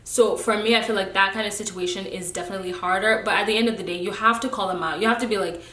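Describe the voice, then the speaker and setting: A woman's voice: medium pitch, fairly even intonation, quick pace, sharp tone. A young woman vlogger giving a candid, emphatic talk about friendships, sometimes preachy and breaking into laughter. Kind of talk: candid casual rant to camera. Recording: echoey room.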